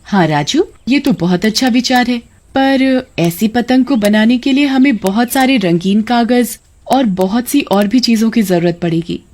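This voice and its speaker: A woman voicing a caring, sensible young elder sister in a cartoon moral story, gentle and earnest. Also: fairly high pitch, unhurried. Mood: thoughtful.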